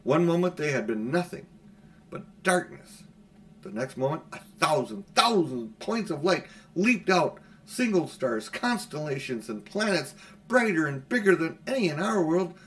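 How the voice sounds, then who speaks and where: A man's voice: fairly high pitch, very animated, measured pace, fairly bright tone. A middle-aged man reading a children's storybook aloud as an audiobook narrator. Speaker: audiobook reader. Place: small furnished room at home, indoors.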